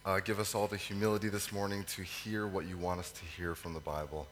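A man with a cold, his voice hoarse and fading, preaches a sermon with many ums and pauses to clear his throat. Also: sits low, steady tone, a bit bright. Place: church hall. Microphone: microphone. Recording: echoey hall with faint hum.

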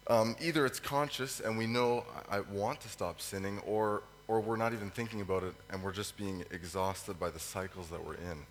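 A man preaches through a cold, his voice hoarse and fading. He talks in a fairly low register, halting with ums and pausing to clear his throat. The sermon rings through a reverberant hall over a faint hum. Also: fairly even intonation. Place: church hall. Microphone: microphone.

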